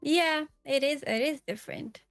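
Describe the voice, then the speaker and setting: A young woman from Portugal with a Portuguese accent, chatting softly and hesitantly as a guest, laughing often. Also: sits high, moderate pace, no echo, soft background music. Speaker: guest streamer. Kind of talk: casual podcast chat.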